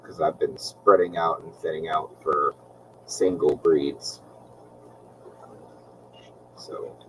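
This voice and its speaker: A man with a low, soothing voice hosts a relaxed livestream chat. He rambles easily, with plenty of ums. He sits a little back from the mic, and a faint hiss runs under his voice. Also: slow, far from the mic. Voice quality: calm, relaxing voice. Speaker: aquarium channel host.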